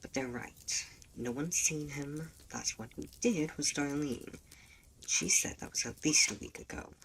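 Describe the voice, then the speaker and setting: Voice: medium pitch, measured pace, creaky, fairly bright tone. Person doing a cocky male demon for audio rp, taunting and hot-headed, bit far from the mic w some hiss. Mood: irritated, insistent. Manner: smug, taunting, argumentative. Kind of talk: audio roleplay voice acting. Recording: distant mic with faint background noise.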